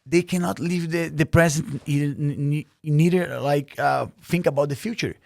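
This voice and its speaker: A man's voice: a little high, moderate pace, vocal fry, no room sound, clean studio sound. A man from Brazil, a native Portuguese speaker with a Brazilian Portuguese accent, talking earnestly as a podcast guest with many fillers and restarts. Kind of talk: casual interview.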